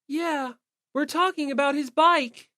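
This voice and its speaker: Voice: high voice, measured pace, sharp tone, no room sound, clean studio sound, voice pushed up. A performer voicing a shy, timid, easily hurt teenage boy in an audiobook, hesitant and apologetic. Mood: mild. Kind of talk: audiobook character acting.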